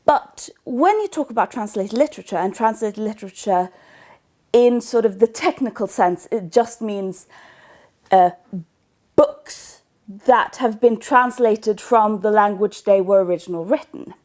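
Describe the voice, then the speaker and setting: Slow, thoughtful Norwegian-born woman blogger. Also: mid-range voice, a little mellow. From Norway. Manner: thoughtful, searching for words, hesitant. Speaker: book blogger. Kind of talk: casual vlog talk to camera.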